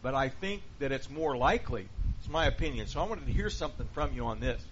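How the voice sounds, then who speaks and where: A man's voice: mid-range voice, lively intonation, fast, fairly bright tone. A man leading a Bible study class, asking the group questions and going over their answers. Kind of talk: interactive Bible class teaching. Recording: dull lo-fi with faint hiss.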